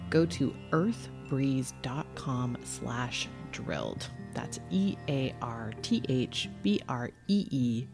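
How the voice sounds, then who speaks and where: A woman's voice: rumbling low, expressive, slow, few pauses, over music. A woman hosting a podcast, speaking in a casual, conversational way. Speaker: podcast host. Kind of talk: casual podcast chat and host-read ad.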